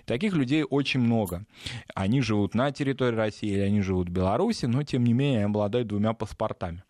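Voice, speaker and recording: A man's voice: fairly low pitch, quick pace, darkish tone, no room sound, clean studio sound. A man from Belarus, a talk show guest, explaining at length in a discursive way with frequent fillers.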